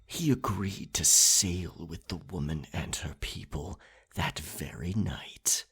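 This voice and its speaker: A man playing a captain werecat in an ASMR roleplay, telling a scary tale in a breathy, gravelly, theatrical voice with deliberate pauses. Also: sits low, fairly bright tone, heavily acted. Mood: ominous storytelling. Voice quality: breathy, gravelly half-whisper. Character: pirate captain werecat, dominant, ominous campfire storyteller.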